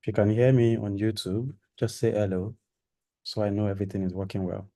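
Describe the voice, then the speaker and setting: A man's voice: on the low side, flat delivery, fairly quick, warm tone. A man giving a live-streamed orientation talk to trainees, rambling and repeating himself with many fillers. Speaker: training program organizer.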